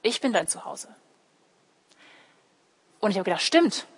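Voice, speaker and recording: A young woman gives a sermon in a space with long reverb. She is a native English speaker who grew up in Germany, with a mid-pitched voice. She explains things directly to her listeners and slips into short imagined quotes. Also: very animated, unhurried, breathy edge. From Germany, born in California and raised in Heidelberg. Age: young adult. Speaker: theology student preaching at church. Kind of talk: sermon with personal illustrations. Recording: echoey hall with long reverb.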